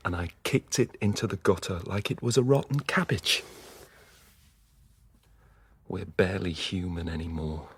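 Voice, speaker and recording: An actor playing a weary, haunted middle-aged dissident in an intimate, brooding radio drama style. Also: male voice, sits low, breathy edge, full character voice. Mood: bitter, despairing. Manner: slow, halting, brooding. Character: weary, haunted male dissident confiding memories and fears.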